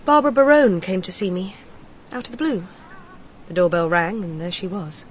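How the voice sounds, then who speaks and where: A woman's voice: lowish voice, normal speed, lightly acted. Candid, wounded woman over background noise, acted. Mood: hurt. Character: grieving, candid mistress confiding in a detective. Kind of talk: radio crime drama dialogue.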